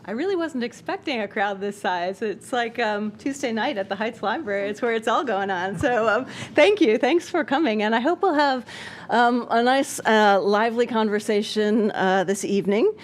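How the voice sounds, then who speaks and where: A woman's voice: fairly high pitch, normal speed, a little hiss or hum. A woman opening a public forum as moderator, talking casually with many small hesitations. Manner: casual, with many ums and uhs. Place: public library meeting room.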